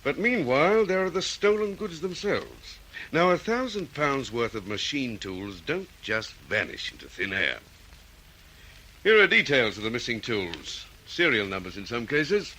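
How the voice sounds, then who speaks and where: A man playing a senior, dogged police detective, brisk and authoritative in an old radio drama style. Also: medium pitch, sing-song, pushed and bright, a little hiss or hum, heavily acted, poor audio. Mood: businesslike, determined.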